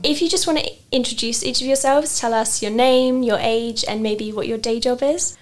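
A woman's voice: on the high side, steady tone, normal speed, over loud music. A woman interviewing a rowing crew, asking short, friendly questions. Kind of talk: radio interview.

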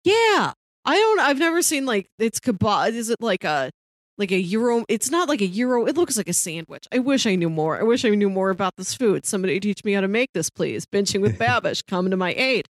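A woman's voice: mid-range voice, sing-song, brisk, no echo. Casual woman podcaster, dry close sound. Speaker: podcast co-host. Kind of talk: casual TV review chat.

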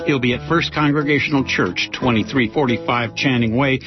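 Male radio announcer, mid pitch, scripted promo read over a clear music bed. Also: fairly quick, muffled recording.

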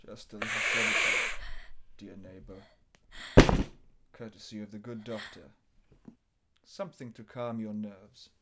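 An actor playing a desperate, unhinged husband in a horror radio drama, theatrical, shifting between mock politeness and frantic pleading. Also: male voice, on the low side, fairly even intonation, measured pace, long pauses, heavy background noise. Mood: menacing, mock polite. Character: desperate, unhinged husband hiding his monstrous cat wife.

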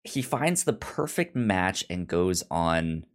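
Male podcast host, low voice, noticeable stammer with repeated syllables, informal review chat. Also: sing-song, slow.

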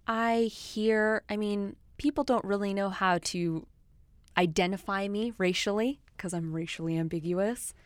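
A woman's voice: mid-range voice, measured pace, clear, resonant tone, no echo, studio quality. A woman who grew up speaking Swahili, talking at length in a casual film discussion, outspoken, with many fillers.

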